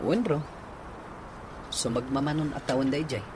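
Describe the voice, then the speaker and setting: A man's voice: medium pitch, moderate pace, a little mellow, lightly acted. Noisy recording, heartsick young Ilocano man acted. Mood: resigned. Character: heartbroken young man pining after a woman. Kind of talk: Ilocano radio drama acting.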